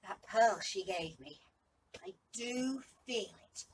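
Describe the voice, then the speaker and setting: A woman playing a bewildered, lovestruck young man in a Shakespearean monologue, speaking haltingly and with wonder. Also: mid-range voice, pitched above the performer's own voice, lightly acted. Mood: dazed wonder. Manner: halting, wondering, shifting from hushed to exclaiming. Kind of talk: Shakespearean stage monologue, verse acting. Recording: distant mic with light background noise.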